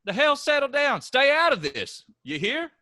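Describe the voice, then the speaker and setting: A man reading the part of a gruff, scornful middle-aged farmer father, quick to anger. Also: very high voice, very animated, bright, forceful tone, no room sound, studio quality, exaggerated voice. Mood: angry. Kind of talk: staged play reading.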